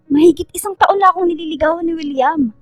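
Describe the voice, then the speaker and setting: A woman's voice: extremely high pitch, fast, no room sound. A woman playing a frank, caring young woman in a radio drama, speaking bluntly and with emphasis over music. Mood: blunt, confronting. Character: frank, caring young woman confronting her best friend.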